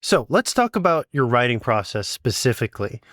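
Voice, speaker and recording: A man's voice: mid-range voice, sing-song, a little fast, fairly bright tone, dry recording, clean studio sound. A man acting as interviewer, asking questions and commenting in a casual, conversational way.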